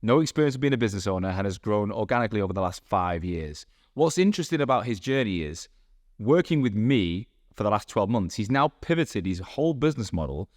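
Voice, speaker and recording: A man's voice: on the low side, expressive, fast talker, no echo, clean studio sound. A man from England with a Manchester accent, hosting a casual podcast interview and chatting freely. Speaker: podcast host. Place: room in Dubai.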